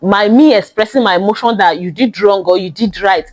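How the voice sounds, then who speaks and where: A young woman hosting a casual monologue podcast, talking in a loud, emphatic way and drawing out the words she stresses. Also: medium pitch, a little fast, faint music behind. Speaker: podcast host.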